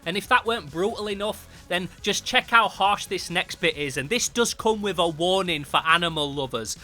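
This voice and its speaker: A British man with a high-pitched, forceful voice narrates a comic book review. His delivery is informal and slangy, full of swearing and emphasis. Music plays softly behind him. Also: animated, moderate pace.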